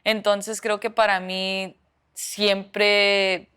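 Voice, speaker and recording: A woman's voice: mid-range voice, flat delivery, extremely slow, bright, forceful tone, clear, resonant tone. A young woman from the United States answering interview questions, hesitant, with many fillers and restarts. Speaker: professional footballer.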